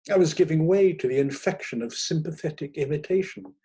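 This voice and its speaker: A man reads a story aloud as an audiobook narrator. His voice is fairly high for a man and has a husky grain. He is theatrical and expressive, drawing out choice words with relish. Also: fairly quick. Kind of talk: dramatic first-person short story reading.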